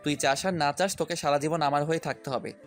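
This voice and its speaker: Woman playing a low-voiced teasing young lover man. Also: fairly even intonation, quick pace, soft background music, close to an everyday voice. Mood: possessive, tender. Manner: playful, smug, tender declarations.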